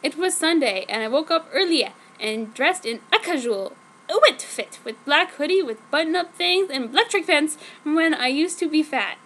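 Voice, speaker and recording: A woman's voice: high voice, animated, moderate pace, fairly bright tone. A woman narrating fan fiction in a theatrical, mock-dramatic reading style. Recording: clearly noisy home recording.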